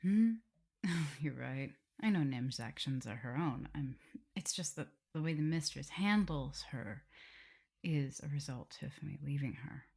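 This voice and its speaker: A woman playing an affectionate, teasing vampire mistress in an intimate ASMR roleplay, speaking softly and tenderly with a breathy, husky voice. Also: low-pitched, full character voice. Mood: tender, apologetic. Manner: soft, unhurried, tender, gently teasing. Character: affectionate, teasing female vampire mistress and professor, doting lover.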